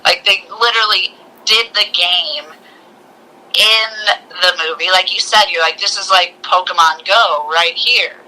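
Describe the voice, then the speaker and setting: A woman co-hosting a casual movie discussion, talking in an excitable way and acting out little quotes. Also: lowish voice, measured pace, rough recording. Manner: excitable, acts out little quotes as she talks. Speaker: co-host of a movie review show.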